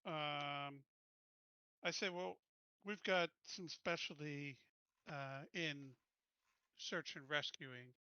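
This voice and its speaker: Sharp, higher-voiced man playing a tabletop game. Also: fairly even intonation, very slow, pauses often, smooth, no echo, dull audio. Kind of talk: casual game chat.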